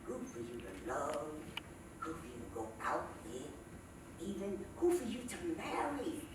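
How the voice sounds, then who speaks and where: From far off in an echoing space comes a woman playing a sassy, cranky old comedienne on stage. She takes her time over her folksy stand-up tales, stretching words and pausing dramatically, with pitch that swings widely. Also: very animated. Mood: wry, indignant. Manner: folksy, drawn-out delivery with dramatic pauses. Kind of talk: theatrical stand-up storytelling monologue. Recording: echoey, noisy stage recording from far off.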